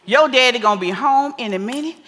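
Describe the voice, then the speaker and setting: A woman's voice: a little high, animated, a bit bright. A woman on stage playing a bawdy, boisterous older mother who schools her grown daughter, sassy and preachy in a big theater hall. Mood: playful, excited. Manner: sassy, preachy, exclamatory, drawn-out emphasis. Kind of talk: broad comedic stage play acting. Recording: echoey theater hall with long reverb.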